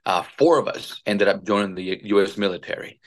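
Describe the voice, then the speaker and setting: A man's voice: lowish voice, fairly quick. A man in his forties from Venezuela, a Marine veteran guest, talking politely and earnestly on a phone video call. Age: 40s. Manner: polite, earnest, many fillers. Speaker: guest, retired Marine officer. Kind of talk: casual interview. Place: indoor room. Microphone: phone at arm's length.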